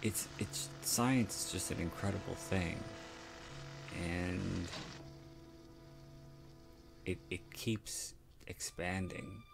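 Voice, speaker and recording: A man with a South Philadelphia accent talks through a film in a casual, halting way. His voice is very low and gravelly, and he takes his time, wandering into candid asides. Faint music plays behind him. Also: huge pitch swings. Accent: South Philadelphia American. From Philadelphia, United States. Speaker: podcast host.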